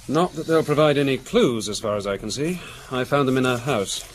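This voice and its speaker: An actor playing a helpful, businesslike investigating officer who explains things plainly in a radio drama. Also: male voice, mid-range voice, animated, a little fast, a little hiss or hum, natural voice. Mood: matter-of-fact. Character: helpful, matter-of-fact investigating officer assisting the inspector.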